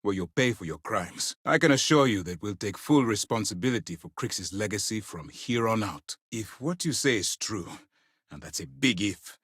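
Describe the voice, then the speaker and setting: A man's voice: on the low side, animated, normal speed, a bit bright, husky, no room sound, clean studio sound, exaggerated voice. An actor playing a stern male space navy commander in a video game, speaking in a formal, authoritative way with clipped orders. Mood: measured, authoritative. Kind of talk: scripted game dialogue, dramatic military acting.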